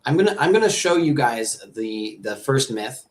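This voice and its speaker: A man's voice: mid-range voice, very animated, normal speed. Upbeat chatty American-born man host. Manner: upbeat, chatty, repeats words. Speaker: host, ESL teacher and music producer. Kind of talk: live webinar hosting.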